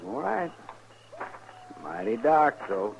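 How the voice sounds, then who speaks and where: An actor playing a cocky, menacing outlaw in a 1950s radio western, talking in a folksy, sly way. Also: male voice, mid-range voice, very animated, slow, creaky, full character voice. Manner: folksy drawn threats and sly persuasion. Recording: muffled 1950s radio recording with hiss.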